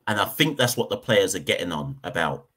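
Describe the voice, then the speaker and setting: A man's voice: low voice, very animated, brisk, fairly bright tone, breathy edge. A man from Jamaica, hosting a cricket podcast and giving a heated opinion rant, hammering points home by repeating them. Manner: emphatic, repeats points for stress.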